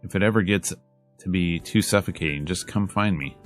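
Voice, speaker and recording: A man's voice: deep voice, a little fast, faint music behind. A man reading a visual novel aloud in a casual, slangy let's play style, moving between narration and dialogue.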